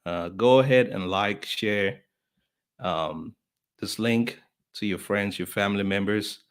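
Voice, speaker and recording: Male podcast host, a bit low-pitched, loose and chatty, lots of "uh" and "you know", calls out viewers by name. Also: slow, clean tone. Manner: chatty, friendly, lots of fillers. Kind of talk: casual live-stream welcome.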